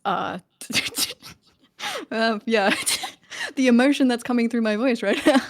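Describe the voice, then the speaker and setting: A woman's voice: a little high, animated, slow. A woman playing in a tabletop roleplaying game, describing her character's actions in casual chat.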